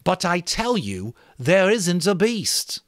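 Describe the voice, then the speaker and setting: An earnest young boy leader acted by a man addresses his group in an audiobook story. His pitch is raised and swings freely as he stresses his points with emphatic conviction. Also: sing-song, takes it slowly, fairly bright tone, dry recording, clean studio sound, exaggerated voice. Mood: insistent. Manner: emphatic, speech-making to a group. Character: earnest young schoolboy leader rallying the others. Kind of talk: dramatic audiobook character reading.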